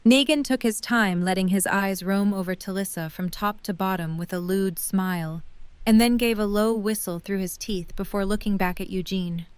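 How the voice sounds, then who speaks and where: Woman, audio drama narrator, very clear smooth voice, medium pitch, scripted storytelling, dry close recording. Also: moderate pace, no echo.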